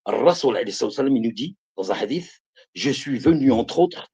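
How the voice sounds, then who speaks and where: Husky man in his fifties preaching. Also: mid-range voice, animated, a little fast, dark tone. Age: 50s. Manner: emphatic, repeats phrases, calls listeners brothers and sisters. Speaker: live streamer giving religious advice. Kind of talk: preaching to viewers on a live stream.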